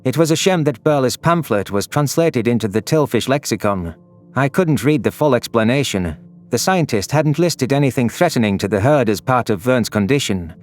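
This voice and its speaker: A man narrates a sci-fi story in a scripted, first-person style. His voice is mid-pitched, with faint music running underneath. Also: animated, moderate pace, a little mellow. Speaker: audio drama narrator.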